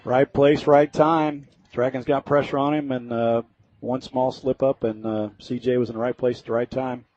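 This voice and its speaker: A man's voice: medium pitch, level tone, normal speed, a little mellow. An American man giving informal, folksy color commentary during a live football broadcast. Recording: slightly dull, lo-fi radio sound.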